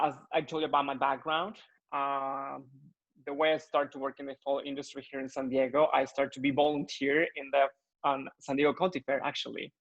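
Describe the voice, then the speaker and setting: A young man from Mexico with a Mexican accent, speaking English as a second language in an earnest interview chat, often pausing with fillers to find his words. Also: medium pitch, lo-fi sound. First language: Spanish. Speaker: floral designer guest.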